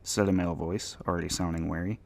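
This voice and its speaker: A man's voice: sits low, steady tone, fast, creaky, a little mellow, dry recording. A man narrating fiction as a dramatic scripted audiobook reading.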